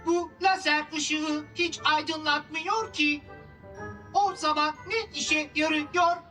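Small curious cartoon sidekick: high, slow-paced, gentle, music behind. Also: female voice, steady tone. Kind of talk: children's cartoon dub acting. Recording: music under the voice.

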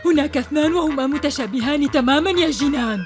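A woman voicing a kindly old grandmother witch in a dubbed cartoon, gentle with a cackling laugh. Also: high voice, fast talker, close to an everyday voice. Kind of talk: Arabic cartoon dubbing. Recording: studio dub over loud music.

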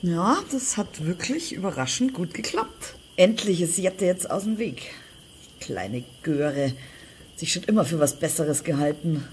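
An actress playing a resentful, scheming older sister in a German crime audio drama. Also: female voice, lowish voice, animated, measured pace, a little hiss or hum. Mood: bitter resentment. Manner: resentful, contemptuous. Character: bitter, scheming older sister plotting against her sibling.

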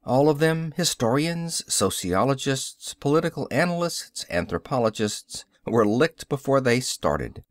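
A man's voice: mid-range voice, sing-song, unhurried, few pauses, no room sound, studio quality. A man reading Neil, a lecturing scientist. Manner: explanatory, lecturing cadence. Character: adult male social scientist, lecturing and confident. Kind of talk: audiobook character reading.

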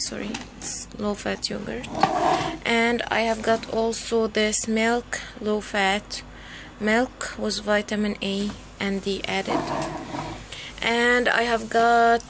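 A woman vlogger chatting casually and unscripted, haltingly searching for words as she shows things at home. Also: on the high side. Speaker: vlogger showing a grocery haul. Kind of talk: unscripted casual show-and-tell chat. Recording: noisy handheld home recording with room ambience.

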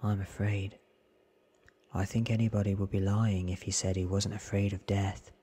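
A man voicing a candid, careful, sickly young man in a hushed, earnest ASMR storybook reading. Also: fairly low pitch, flat delivery, moderate pace, a little hiss or hum, close to an everyday voice. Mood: candid, resigned. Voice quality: breathy.

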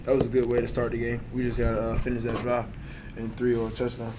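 A young man in his twenties, a college quarterback answering post-game press questions in a halting, soft-spoken way with many fillers. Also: medium pitch, monotone, noisy background. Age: 20s. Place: press conference room.

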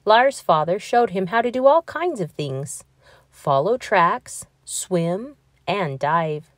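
Female children's read-aloud narrator, expressive and deliberate, animated intonation, dry sound. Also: medium pitch, dry recording. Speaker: storybook reader.

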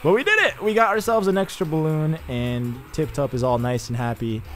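A man's voice: a little high, a little fast, faint music behind. Chatty young man narrating gameplay. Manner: chatty, excitable, jokey asides. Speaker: gaming YouTuber. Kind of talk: casual let's play commentary.

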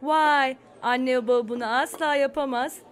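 A woman's voice: high-pitched, steady tone, measured pace. A woman voicing an eager, curious preschool boy in a cartoon, with a childlike, earnest manner. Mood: amazed.

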